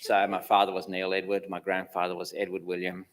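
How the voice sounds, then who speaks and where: A man in his fifties, mostly Australian in accent with traces of other places, answers questions in a church. His voice sits a little low, and he rambles good-naturedly with dry jokes. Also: monotone, a little fast. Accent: mixed, Australian with traces of places lived. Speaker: church council chair. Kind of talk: interview answers.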